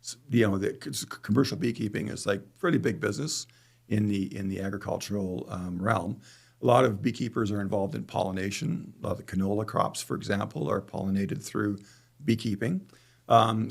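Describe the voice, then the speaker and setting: A man's voice: on the low side, gravelly. A middle-aged man with a Canadian accent, talking conversationally as a guest in an interview. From Calgary, Canada. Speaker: podcast guest, beekeeper.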